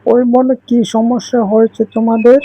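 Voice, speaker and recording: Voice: medium pitch, little pitch movement, normal speed, muffled recording, lightly acted. A voice actor playing a kind, trusting female songbird who talks in a reassuring, welcoming way. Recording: noisy recording.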